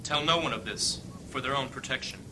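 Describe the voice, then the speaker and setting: An actor playing a solemn high-ranking church leader in a fantasy audio drama, speaking in a grave, formal, commanding way. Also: male voice, brisk, lightly acted. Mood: urgent, commanding. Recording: clear background ambience noise.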